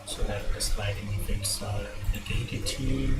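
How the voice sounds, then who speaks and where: A man in his thirties, a non-native English speaker, giving an unscripted software tutorial in a halting way, thinking aloud and repeating words. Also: on the low side, fairly even intonation. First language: not English. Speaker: web design tutorial instructor. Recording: loud constant background noise.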